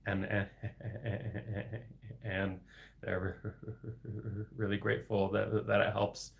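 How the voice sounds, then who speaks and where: A young man hosting a casual solo livestream, speaking with a heavy stutter full of sound repetitions and blocks and breaking into soft laughs. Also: on the low side, little pitch movement, extremely slow, gravelly, slight background noise. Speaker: livestream host reading viewer questions.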